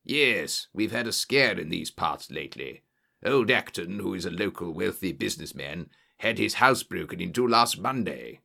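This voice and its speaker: A man voicing a bluff, genial old-soldier colonel, an English country gentleman, in an audiobook story. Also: low-pitched, animated, normal speed, creaky, voice pushed down, full character voice. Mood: conversational, bluff.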